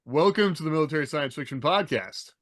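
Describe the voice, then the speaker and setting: A man's voice: on the high side, lively intonation, a little fast, over loud music. A middle-aged man hosting a podcast in a casual panel style. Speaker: podcast host. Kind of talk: casual panel talk with rehearsed introductions.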